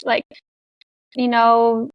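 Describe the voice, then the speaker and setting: A woman's voice: on the high side, completely flat delivery, extremely slow, clean tone, muffled recording. A young Canadian woman speaking casually as an interview guest, laughing often and using many fillers. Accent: Canadian English with an international European tinge. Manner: chatty, laughs often, many likes and you knows. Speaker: guest, content creator.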